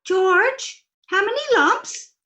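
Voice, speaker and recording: Sweet scatterbrained wife, bright piercing girlish pitch, cooing, old-time radio comedy, roomy echo. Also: takes it slowly, a little hiss or hum, exaggerated voice. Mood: sweetly innocent. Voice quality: very high, girlish. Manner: cooing, innocent. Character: ditzy, sweet, cheerful wife doting on a pet duck.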